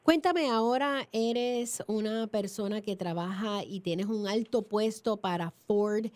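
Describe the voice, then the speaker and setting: A woman's voice: medium pitch, a little slow, darkish tone. A woman hosting a radio interview, asking prompting questions and nudging the guest along with short encouragements. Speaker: radio host. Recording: radio broadcast.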